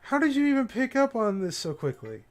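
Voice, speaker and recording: A man's voice: very high voice, very animated, brisk. A man livestreaming a visual novel, casually commenting and reading the game text aloud, with game music behind him.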